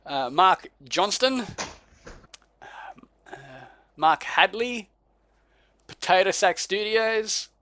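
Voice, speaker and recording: An Australian man co-hosting a casual podcast, talking slowly and easily and chuckling over names he can't quite pronounce. His voice sits a little high for a man and sounds dry and close to the mic. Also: lively intonation, bright, forceful tone, dry recording. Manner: laid-back, chuckling, stumbles over names. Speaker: podcast co-host. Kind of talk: casual chat.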